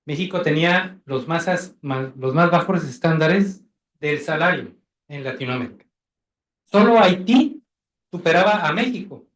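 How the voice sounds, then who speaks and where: Echoey, higher-voiced Mexican man. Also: animated, normal speed, fairly bright tone. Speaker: labor judge commenting from the audience. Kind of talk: formal oratorical remarks. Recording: echoey event room.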